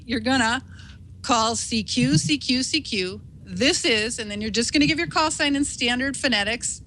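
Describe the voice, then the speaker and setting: A woman's voice: a little high, bright, forceful tone, slight background noise, rough recording. A woman giving an informal instructional talk in an encouraging, reassuring, conversational way, like a teacher walking beginners through the steps. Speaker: instructor, ham radio operator.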